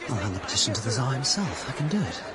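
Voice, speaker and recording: An actor playing an earnest, proud young Russian officer in a radio drama, speaking with hesitant fervour. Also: male voice, medium pitch, very animated, very fast, natural voice. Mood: rapt, hopeful. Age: young adult. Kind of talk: radio drama acting with inner monologue. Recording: bustling outdoor crowd noise behind.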